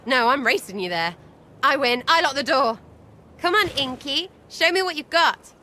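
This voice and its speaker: A woman's voice: high voice, expressive, moderate pace, bright, forceful tone, a little hiss or hum. Cheeky, teasing elf girl. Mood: giddy. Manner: playful, teasing, crude slang. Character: cheeky irreverent young elf woman. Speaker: companion character.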